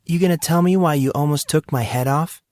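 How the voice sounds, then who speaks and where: A man's voice: on the high side, a little fast, a little mellow, no room sound, clean studio sound, voice pushed up, natural voice. A man voicing a wry, defensive teenage boy in an audiobook drama. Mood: sarcastic teasing.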